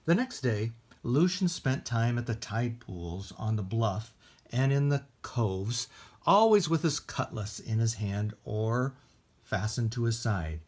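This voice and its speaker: A man with an American accent reading aloud at a children's storytime, expressive and deliberate, with casual asides in simple words. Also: mid-range voice. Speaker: library storytime reader.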